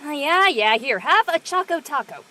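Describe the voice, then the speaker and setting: A woman acts out a cheeky teenage girl in a casual audio drama. Her voice is very high and animated, and the delivery is playful and joking. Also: normal speed, exaggerated voice. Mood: upbeat, casual. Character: Josie, cheeky, food-loving teenage high school girl. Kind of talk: conversational acting in an online audio play.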